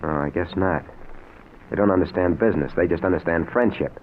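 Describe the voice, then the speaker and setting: An actor playing a desperate, earnest working-class husband in an old-time radio drama, plain-spoken and emotional. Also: male voice, sits low, a little fast, lightly acted. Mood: bitter. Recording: narrow, noisy vintage radio recording.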